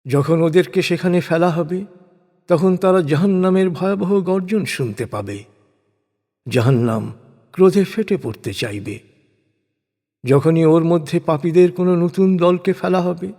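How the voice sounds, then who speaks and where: A man giving a solemn, measured reading of translated scripture in short, declaimed phrases. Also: high-pitched, dark tone. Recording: long reverb on the voice.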